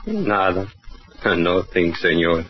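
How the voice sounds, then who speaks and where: A knife-wielding Mexican killer from an old radio drama, matter-of-fact and amused, with a Mexican Spanish accent. His voice is deep, and the sound is muffled and slightly hissy, like a vintage broadcast. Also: big pitch swings, slow, full character voice. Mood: calmly menacing. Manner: broken English, chuckling, matter-of-fact menace. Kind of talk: 1940s radio acting. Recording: dull old radio broadcast with faint hiss.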